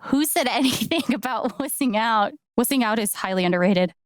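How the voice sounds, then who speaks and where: A woman's voice: on the high side, animated, moderate pace, no room sound, clean studio sound, close to an everyday voice. An actress playing an earnest, caring and determined young woman in naturalistic, conversational video game dialogue. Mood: nervous. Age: young adult.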